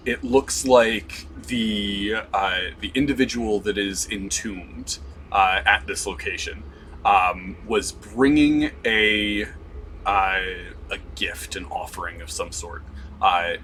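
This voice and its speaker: A man narrating as game master, unhurried and stopping often to find his words. His tone is bright and forward, a little low. Faint background noise under him. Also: rough recording. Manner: slow, descriptive, many "um"s.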